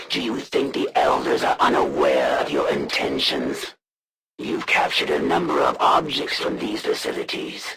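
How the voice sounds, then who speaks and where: A man playing a proud, honor-bound alien assassin in a video game, coldly menacing, with formal, haughty, deliberate speech. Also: a little high, creaky, fairly bright tone, heavily acted. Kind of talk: scripted video game villain voice lines.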